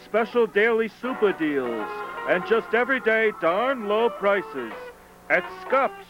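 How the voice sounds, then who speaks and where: A man's voice: sits high, big pitch swings, slow pace. A man reading a scripted supermarket advert as a commercial announcer. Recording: muffled old VHS broadcast, loud music.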